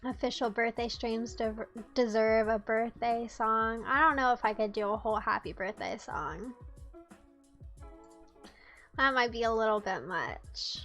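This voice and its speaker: A woman's voice: a little high, unhurried, a bit bright, soft background music. A young woman from the United States streaming live, chatting casually and teasing her chat in a playful way.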